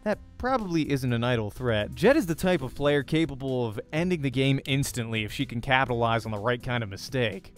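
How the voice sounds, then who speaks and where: A man's voice: mid-range voice, lively intonation, fairly quick, hardly any pauses. A man doing a let's play, reading a visual novel's narration aloud with expression. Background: faint music.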